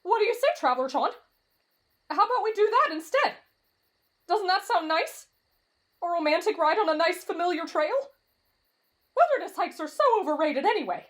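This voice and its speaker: A woman's voice: animated, normal speed, long pauses. A woman voicing an anxious, cowardly, whiny young man with dramatic exclamations in an anime fan audio roleplay. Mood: nervously coaxing. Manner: shrill, dramatic, shrieking exclamations.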